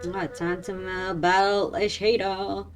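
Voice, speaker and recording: A woman's voice: mid-range voice, little pitch movement, a little slow. A woman streamer giving casual, informal game commentary, often muttering to herself. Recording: game music behind the voice.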